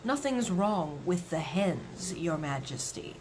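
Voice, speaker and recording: A woman's voice: fairly low pitch, animated, slow pace, noisy background, pitched below the performer's own voice. Woman as a whispering, deferential royal butler. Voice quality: whispery, hushed.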